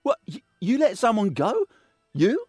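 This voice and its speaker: Cocky, high-voiced sneaky little thief. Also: male voice, wildly swooping pitch, a little slow, a little mellow, breathy edge, dry recording, soft background music, full character voice. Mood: nervous, cheeky. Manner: bragging, mock-innocent, theatrical. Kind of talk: fantasy video game voice acting.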